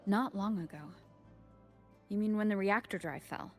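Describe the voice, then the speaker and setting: A woman playing a calm, perceptive young heroine in a video game, speaking softly in a measured, serious way. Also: mid-range voice, faint music behind, lightly acted. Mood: probing. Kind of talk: video game story voice acting.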